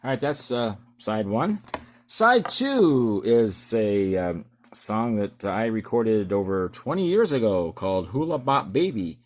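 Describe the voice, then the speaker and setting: Middle-aged man, halting and rambling. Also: on the low side, sing-song, soft background music. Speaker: amateur musician presenting his record. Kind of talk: casual show-and-tell vlog.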